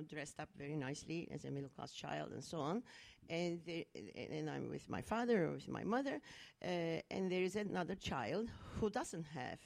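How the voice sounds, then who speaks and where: A middle-aged woman from Turkey tells a personal story to an audience. Her voice sits a little low, and she speaks earnestly and thoughtfully, often restarting and adding small fillers. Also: creaky. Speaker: speaker at a talk. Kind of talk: personal story in a public talk.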